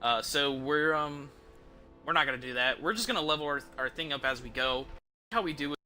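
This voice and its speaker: A man's voice: a little high, a little fast, pushed and bright. A man giving casual live commentary as a video game streamer, rambling informally and repeating himself. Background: game music and sounds.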